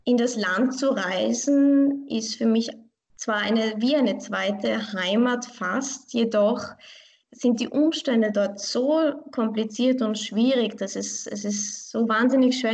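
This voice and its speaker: A young woman with a slightly high voice and a gravelly edge of vocal fry. She answers interview questions thoughtfully and earnestly, a pianist and teacher who often restarts phrases as she searches for words. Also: measured pace, darkish tone. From Vienna, Austria. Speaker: pianist and online piano teacher.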